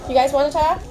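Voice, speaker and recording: Bubbly young woman interviewing in a crowd. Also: high-pitched. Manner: bubbly, encouraging. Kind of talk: casual on-the-spot interviewing.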